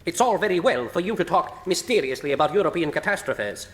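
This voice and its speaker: A high male voice rings in a big reverberant space as a pompous Victorian official. His delivery is stern, blustering and emphatic, swinging widely in pitch, in old-style radio drama fashion. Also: lively intonation, brisk, a bit bright. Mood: stern, accusing. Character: stern, indignant, by-the-book Victorian official, a titled gentleman. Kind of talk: full-cast radio drama acting. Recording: reverberant hall sound.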